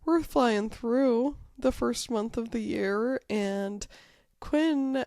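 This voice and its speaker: Woman podcast co-host, hoarse after being sick, slow easygoing delivery, laughs at herself. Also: a little high, darkish tone, dry recording, clean studio sound. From Wyoming. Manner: chatty, self-deprecating, laughs often. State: croaky, recovering from illness. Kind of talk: casual chat.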